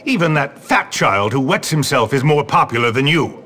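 A man's voice: mid-range voice, sing-song, moderate pace, bright, forceful tone. A man playing a pompous, stern older headmaster in a video game, haughty, theatrical and scolding. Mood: smug, disdainful. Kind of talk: video game cutscene acting.

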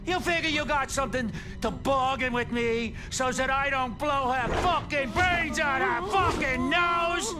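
Volatile, high-voiced New mob boss. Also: male voice, over loud music. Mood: raging. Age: middle-aged. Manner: volatile, menacing, deliberate. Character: vengeful middle-aged male mob boss, wounded and brutal. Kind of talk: video game voice acting.

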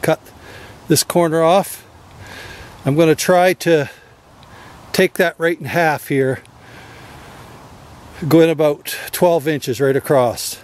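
A man's voice: fairly high pitch, fairly even intonation, slow, long pauses, a little hiss or hum. A middle-aged man explaining his work step by step in a casual how-to style, recorded outdoors in a wooded yard. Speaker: DIY video host.

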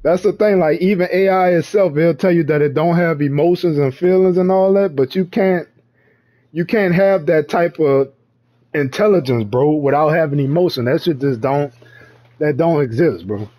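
A man's voice: fairly high pitch, moderate pace, mellow. A man in casual chat, talking in heavy slang with lots of swearing and frequent laughter. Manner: slangy, profane, laughs often.

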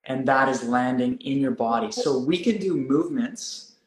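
A man in his thirties hosting a live wellness stream, calm and gently guiding, with slow deliberate phrasing. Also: mid-range voice, roomy sound, rough recording. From South Africa, raised in Canada. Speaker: wellness host. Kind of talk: live-stream coaching chat. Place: room indoors.

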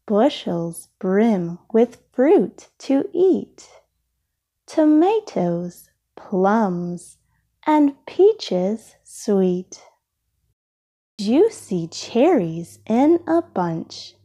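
A woman with a slightly husky voice reading a children's storybook aloud, expressively and with deliberate phrasing for young listeners. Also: mid-range voice, sing-song, dark tone. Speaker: storybook reader.

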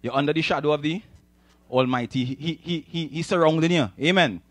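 A man's voice: a little high, brisk, no room sound. A man with a Trinidadian accent preaching emphatically in a sermon style, repeating phrases and prompting his listeners to agree, speaking into a handheld microphone. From Trinidad and Tobago. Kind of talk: animated sermon with audience call-and-response. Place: church hall, speaking from the stage.

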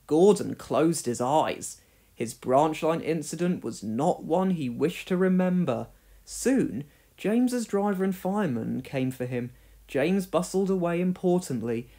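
A man narrates a children's storybook in a fairly high voice. His delivery is very lively, rising and falling through the scripted lines. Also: a little slow. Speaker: story reader.

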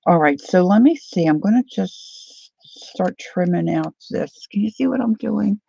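A woman hosting a casual crafting live stream, chatting softly and unhurriedly with viewers while she cuts paper at her craft table. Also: mid-range voice, animated, a little slow, creaky, husky, no echo, dull audio. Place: indoor craft table, overhead camera.

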